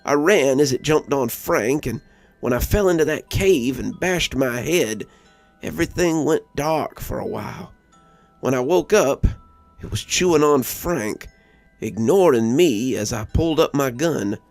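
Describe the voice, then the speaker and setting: A man's voice: mid-range voice, expressive, unhurried, a little mellow, no echo, faint music behind. A man voicing a wounded young backwoods man who recounts a monster attack in a story. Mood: grim, haunted. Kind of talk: first-person storytelling monologue.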